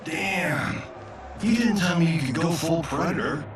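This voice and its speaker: Smartass game fighter, glib, slow drawn out delivery, music behind. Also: male voice, a little high, animated. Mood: joking. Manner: glib, sarcastic. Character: cocky wisecracking young fighter. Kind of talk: cinematic video game acting.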